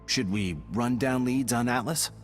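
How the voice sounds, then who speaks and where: An actor playing an easygoing, upbeat squad member with a dry, casual manner in game cutscene dialogue. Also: male voice, medium pitch, measured pace, close to an everyday voice. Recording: music under the voice.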